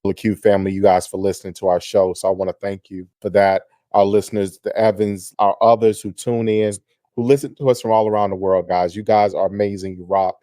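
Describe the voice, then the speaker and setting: Upbeat male radio host, mellow low voice. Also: no room sound. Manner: energetic, effusive, hypes up guest. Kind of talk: enthusiastic radio talk show hosting. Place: radio studio.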